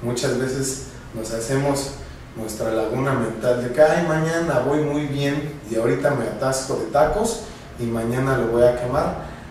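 A man's voice: medium pitch, moderate pace, darkish tone, recorded from a distance, slight background noise. A man, a clinic director seated at his desk earnestly giving viewers nutrition advice straight to camera. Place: bright office, seated at a desk. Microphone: camera at a distance. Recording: very echoey room, mic from afar.

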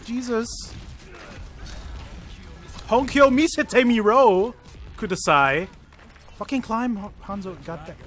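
A man chatting casually while playing an online shooter, excited and swearing as he calls out his plays. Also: high-pitched, takes it slowly, pauses often, faint music behind. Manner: loud, excited, swearing play-by-play. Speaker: gamer. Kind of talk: casual gaming chat.

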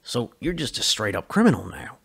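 A man voicing a sullen, intense male revolutionary in a story reading, scolding in forceful, clipped phrases. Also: medium pitch, big pitch swings, fairly quick, vocal fry, breathy edge, exaggerated voice. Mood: angry, reproachful.

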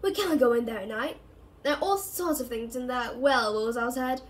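A woman's voice: on the high side, normal speed, far from the mic, slight background noise, natural voice. A woman voicing a haughty, cowardly schoolboy in a storybook, protesting in a petulant, anxious way. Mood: frightened. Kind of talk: storybook character reading.

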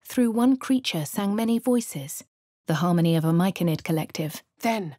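A posh female adventurer with a posh English accent, wry and composed. Her voice sits fairly low for a woman and comes across crisp and dry. It is recorded in a dead, dry studio booth with no room sound. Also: a little mellow, no echo. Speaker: voice of the player character. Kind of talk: game voice lines and narration.

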